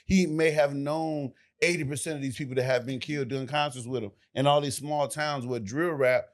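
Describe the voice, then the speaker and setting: A man's voice: mid-range voice, steady tone, normal speed. A comedian in his late thirties co-hosting a podcast, joking and trash-talking between serious points. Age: 30s. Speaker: comedian and co-host. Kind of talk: casual chat.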